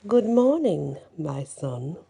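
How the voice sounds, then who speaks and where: A woman storyteller voicing a kindly old woman held captive, speaking gently and slowly. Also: low-pitched, very animated, gravelly, husky, full character voice. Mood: gentle.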